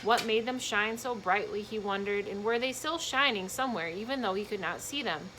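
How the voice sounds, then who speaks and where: A woman's voice: medium pitch, a little fast, a bit bright, slight background noise, rough recording. A woman reading a children's storybook aloud expressively, with casual explanatory asides to young listeners.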